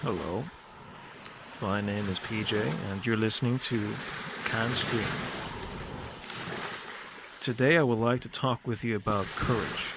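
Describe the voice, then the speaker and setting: A man hosting a podcast, giving a reflective solo monologue in deliberate, short, repeated phrases. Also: lowish voice, noisy background. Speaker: podcast host.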